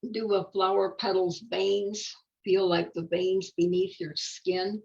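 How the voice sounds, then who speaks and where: An older woman reads a children's story in a creaky, gravelly voice. She takes her time and slips into casual, musing asides. The sound is a bit dull and lo-fi. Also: mid-range voice, level tone, slow pace, darkish tone. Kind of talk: read-aloud picture book with casual asides.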